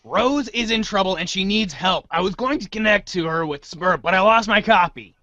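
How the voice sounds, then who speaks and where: A man acting an earnest, excitable, rambling teenage boy hero in a storybook-style reader's theater. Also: high-pitched, normal speed, muffled recording. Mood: urgent.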